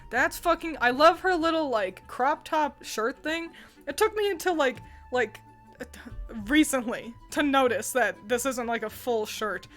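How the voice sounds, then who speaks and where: A young woman chatting casually as co-host, gushing with excitement and laughing often. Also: high-pitched, a little slow, soft background music. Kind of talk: casual chat.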